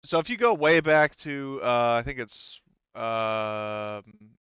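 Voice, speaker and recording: A man game-mastering a tabletop roleplaying session in an easygoing, accommodating way. His voice is smooth and clear. The sound is dry and a little muffled. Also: mid-range voice, slow pace, a bit bright, no echo. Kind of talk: casual tabletop game talk.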